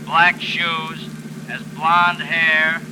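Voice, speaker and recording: An impassive police dispatcher heard over shortwave in a 1940s radio drama. His calls are clipped and official, in a slightly high male voice with clear noise. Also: very slow. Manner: clipped, flat official repetition. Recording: noisy vintage radio recording.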